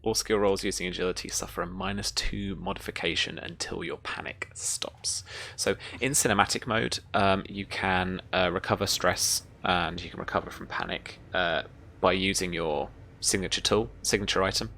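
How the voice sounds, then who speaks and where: A deep, fry-laden voice runs a tabletop roleplaying game as game master. The delivery is informal, moving between rules talk and vivid description. There is noticeable background noise. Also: steady tone, moderate pace, pushed and bright.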